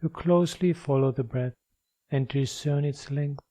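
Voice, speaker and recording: A man leading a guided meditation as a teacher, speaking in a measured, instructional way with long pauses. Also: fairly high pitch, dark tone.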